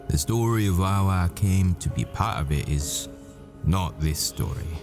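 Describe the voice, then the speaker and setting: Boyfriend roleplay lead, male actor: haunted hitman living as a professor, very deep male voice, measured delivery, intimate and smitten. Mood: grave, confessional. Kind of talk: ASMR boyfriend roleplay, dramatic monologue.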